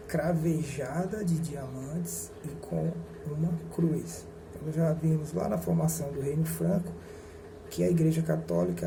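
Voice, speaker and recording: A male history teacher lectures at an unhurried pace, with a gravelly edge to his slightly high voice. He often pauses and corrects himself as he explains. The recording is noisy, with a steady hum underneath. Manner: slow, deliberate, explains with pauses and self-corrections.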